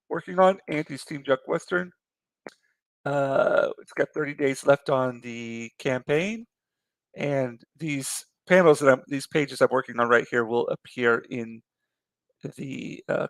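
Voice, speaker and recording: A man hosting a live art stream, losing his voice and talking in a relaxed, rambling way with many fillers. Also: a little high, gravelly, no echo. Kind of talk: casual late-night chat.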